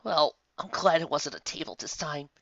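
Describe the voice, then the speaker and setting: A man voicing a weary, exasperated young female unicorn pony with a halting, dramatic delivery. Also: fairly high pitch, animated, gravelly, sharp tone, dry recording, dull audio, voice pushed up, full character voice. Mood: dazed, weary.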